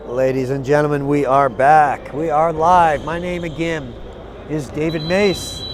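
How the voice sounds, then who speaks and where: A hoarse man with a raspy voice doing live, partisan color commentary for a basketball game. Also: sits high, noisy background. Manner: partisan, cheers on one team with "we". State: hoarse, tired voice.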